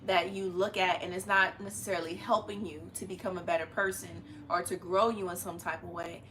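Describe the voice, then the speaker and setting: Bright-voiced young woman hosting a live stream. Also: lowish voice, fairly even intonation, a little fast. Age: young adult. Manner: chatty, familiar, uses slang. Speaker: host of a family live stream. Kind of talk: casual live-stream chat. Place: living room, on a couch. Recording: noticeable room noise.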